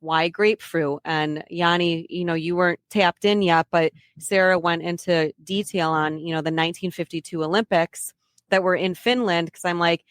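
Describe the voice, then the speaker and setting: A woman's voice: lowish voice, fairly even intonation, moderate pace, no room sound, studio quality. A woman hosting a podcast interview in a casual, chatty way, often restarting her phrases. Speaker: podcast host.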